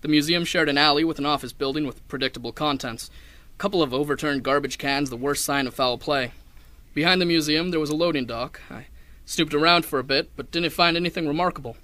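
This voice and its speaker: A young man playing a hard-boiled, wry detective who narrates a 1930s noir radio drama in a deadpan way. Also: on the high side, no room sound, close to an everyday voice. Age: young adult. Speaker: narrator and lead actor.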